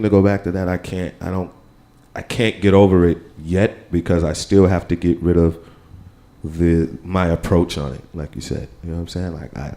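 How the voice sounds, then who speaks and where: Low, mellow-voiced American man talking loosely. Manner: rambling, keeps saying "you know what I'm saying". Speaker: panel guest, percussionist. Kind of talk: casual panel talk. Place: live radio studio with audience.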